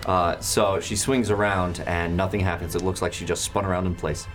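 A man's voice: low voice, flat delivery, moderate pace. A man running a tabletop game as game master, narrating the action casually. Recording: music behind the voice. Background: background music.

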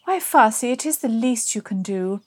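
A woman's voice: a little high, expressive, moderate pace, slight background noise, close to an everyday voice. A woman voicing a haughty, prim aristocratic lady who exclaims imperiously in a bawdy period storybook. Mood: pleased.

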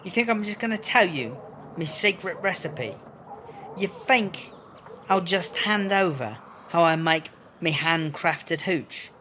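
Casting: man as foul-mouthed female British hooch seller for comedy audio drama, unhurried and wheedling, faint background noise. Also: sits high, lively intonation, sharp tone, heavily acted. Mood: indignant, guarded. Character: crafty foul-mouthed female prison wine brewer, chummy and wheedling.

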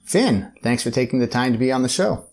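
A man hosting a cycling podcast, reading a relaxed intro and then chatting easily with his guest. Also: mid-range voice, a little fast. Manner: friendly and easygoing, with short backchannel replies. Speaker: podcast host. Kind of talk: podcast interview.

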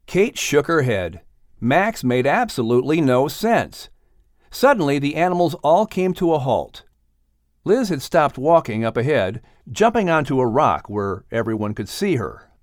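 A man narrating a children's audiobook in an expressive, scripted storytelling style. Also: mid-range voice, measured pace, no echo, clean studio sound.

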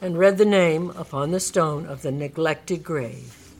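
A woman narrating a classic story in a live radio reading, expressive and dramatic, lingering on descriptive words. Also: low-pitched, unhurried.

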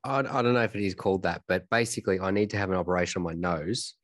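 A man's voice: low voice, rapid-fire, dry recording, clean studio sound. A man with an Australian accent and a nasal, congested voice, chatting easily as a podcast guest. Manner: relaxed, easygoing, repeats himself. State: congested from a cold. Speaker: racing driver and podcast guest. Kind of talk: casual chat.

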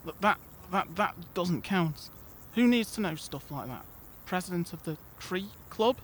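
A man plays a put-upon young lad in an audio drama, questioning everything with dry grumbles. His voice sits a little high, over faint background noise. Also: expressive, a little slow. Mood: wry. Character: sceptical, put-upon young male schoolmate.

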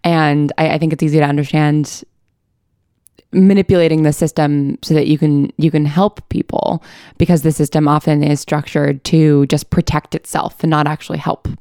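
Woman, interview guest, low-pitched voice, earnest and thoughtful, dry close sound. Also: flat delivery, moderate pace, warm tone, clean tone, no echo. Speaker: interview guest, audio drama writer. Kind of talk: conversational interview discussion.

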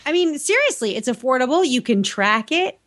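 A woman's voice: high voice, big pitch swings, a little fast, fairly bright tone. Chatty American woman co-hosting a podcast. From New England, United States. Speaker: podcast co-host. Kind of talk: casual co-host banter and chat.

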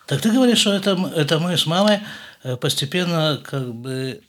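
A middle-aged man, a podcast host, calmly asking his grown son questions in an unhurried family conversation. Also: on the high side.

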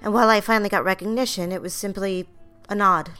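A woman plays a young secretary in an audio drama. Her voice sits in a middle register, sincere and a little wounded but quietly stubborn. Soft music plays behind her. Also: medium pitch, steady tone, quick pace, close to an everyday voice. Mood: reflective, hurt. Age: young adult. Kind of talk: audio drama acting and narration.

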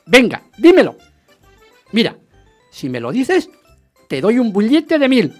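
Jealous husband, radio drama, highly animated intonation, agitated, insistent, dramatic storyteller. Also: male voice, unhurried, soft background music, full character voice. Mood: agitated, demanding. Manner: dramatic, insistent, swinging from narration to heated questioning. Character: jealous, agitated husband recounting his hunt for a rumour. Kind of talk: radio theatre narration and dialogue.

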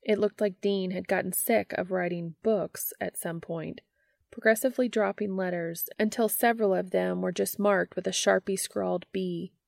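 A woman's voice: mid-range voice, steady tone, moderate pace, vocal fry, no room sound, studio quality. A woman narrating a story from a script, reading it aloud as an audiobook. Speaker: fan fiction audiobook narrator.

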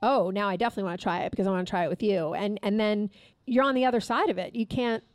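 A woman's voice: medium pitch, dry recording, studio quality. Candid woman reading her memoir. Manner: frank and candid, reads with measured pauses. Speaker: guest author. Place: live taping at a store event.

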